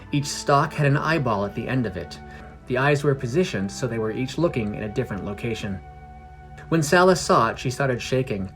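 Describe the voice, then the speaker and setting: A man reading a scripted fantasy story in a measured storytelling manner. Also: mid-range voice. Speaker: podcast narrator. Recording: music under the voice. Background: music.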